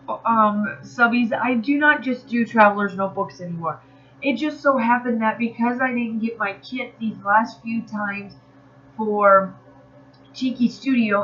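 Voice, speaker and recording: A woman narrates her craft video in a relaxed, rambling way, with fillers and quick restarts. Her voice sounds a little nasal from allergies. The home recording is somewhat dull and muffled. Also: medium pitch. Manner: chatty, rambling, with fillers and self-corrections. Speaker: craft channel host. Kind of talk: casual voice-over narrating a crafting process video.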